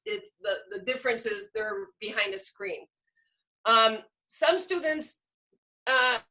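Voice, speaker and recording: A woman's voice: high-pitched, bright, forceful tone. A woman giving an informal instructional webinar as a teacher trainer, explaining through questions and acted-out classroom prompts.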